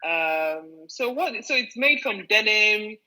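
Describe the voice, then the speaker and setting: A woman's voice: medium pitch, lively intonation, slow pace, pushed and bright, poor audio. A woman host with a Yoruba-inflected Nigerian English accent, chatting casually over a video call, laughing easily and making playful asides. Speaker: interview host. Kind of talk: casual interview chat.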